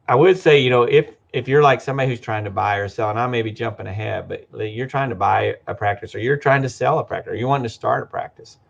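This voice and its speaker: A man's voice: mid-range voice, gravelly. A man who is a guest in an interview, explaining his business in a loose, conversational way with frequent restarts. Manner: conversational, many restarts and "you know". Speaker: practice management business guest. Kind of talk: casual interview. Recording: dull lo-fi audio.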